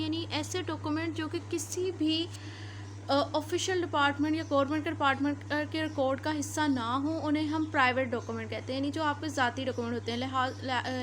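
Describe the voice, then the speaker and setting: A woman with a Pakistani accent delivers an instructional lecture in a high, bright voice. She explains step by step and rephrases points for clarity, switching into English terms. Faint noise sits behind her. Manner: didactic, repeats and rephrases points. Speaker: law lecturer.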